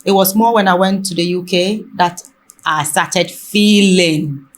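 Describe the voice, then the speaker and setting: A woman talking reflectively in conversation, haltingly and often rephrasing mid-sentence. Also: lowish voice, slight background noise. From Ghana.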